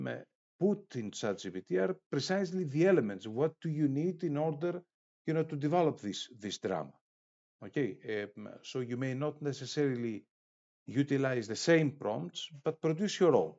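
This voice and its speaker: Halting male lecturer, dull lo-fi sound. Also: fairly high pitch, sing-song, gravelly, warm tone. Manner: halting, hesitant delivery with frequent filled pauses. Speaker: lecturer of an online course. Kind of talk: explanatory lecture, reading out prepared prompts.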